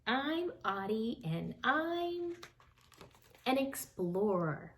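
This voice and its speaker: A woman voicing a boisterous young female otter explorer in a storybook, loud and exclamatory. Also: on the high side, big pitch swings, drawn-out words, a little hiss or hum, full character voice. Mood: excited.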